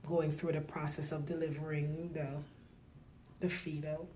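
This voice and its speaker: A middle-aged woman from Guyana, a nurse, speaking softly and reflectively in a personal interview, pausing often with fillers. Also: sits low, level tone, slight background noise. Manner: soft-spoken, reflective, halting with fillers.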